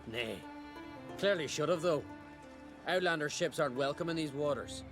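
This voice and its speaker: A man as a blunt, high-pitched island seafarer. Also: moderate pace, over music, close to an everyday voice. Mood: gruff, challenging. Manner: blunt, salty, folksy. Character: rough, scornful island seafarer and scavenger. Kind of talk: cinematic game dialogue.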